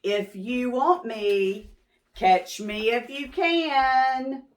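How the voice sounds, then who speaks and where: Woman voicing a cheeky, high little gingerbread baby. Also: very slow. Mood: gleeful taunting. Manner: singsong, taunting rhyme.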